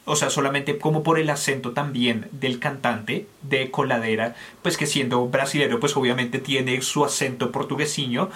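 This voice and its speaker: A man from Colombia talks through new songs in a casual review show. He is candid and opinionated, sorting out his thoughts aloud with plenty of fillers and restarts. His voice sits at an ordinary middle pitch. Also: steady tone, moderate pace, hardly any pauses, a bit bright. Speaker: music reviewer co-host. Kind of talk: casual music review chat.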